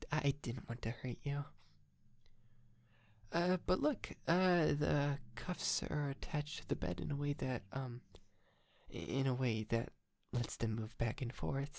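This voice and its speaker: A man playing a shy, polite, lovestruck yandere kidnapper in an intimate ASMR roleplay, stammering, hesitant and softly doting. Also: mid-range voice, vocal fry, dry recording, studio quality.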